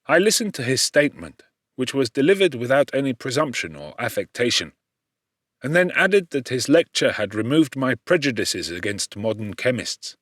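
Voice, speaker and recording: A man reading literary narration for an audiobook in a measured, formal and dramatic manner. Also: mid-range voice, very animated, fairly bright tone, no room sound, clean studio sound.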